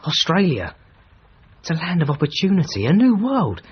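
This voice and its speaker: An actor in a radio drama playing a loyal, idealistic middle-aged travelling companion, earnest and pleading. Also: male voice, fairly high pitch, huge pitch swings, normal speed. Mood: hopeful, urging. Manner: earnest, urging delivery. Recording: dull, slightly noisy radio recording.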